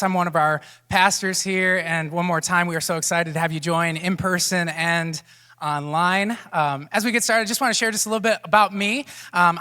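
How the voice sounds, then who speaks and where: A man in his thirties welcomes everyone from the stage as the church's pastor, bubbling over with excitement and tossing in quick jokes. His voice sits a little high and rings bright and forceful. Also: big-room sound. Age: 30s. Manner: energetic, jokey, gushing with excitement.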